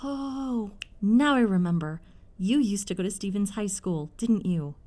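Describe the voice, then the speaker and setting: A woman's voice: medium pitch, animated, measured pace, mellow, natural voice. A woman voice-acting a middle-aged, fiercely protective, doting mother in an audio roleplay, effusive and fussing in manner. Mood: delighted.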